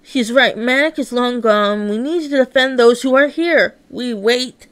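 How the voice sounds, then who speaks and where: A woman performs a comic read-along, voicing all the parts and effects herself. Her voice is fairly high and her pace is slow and deliberate. The recording is close and dry. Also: a bit bright, clear, resonant tone, no room sound. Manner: acts out every character and effect herself. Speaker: narrator reading a comic aloud.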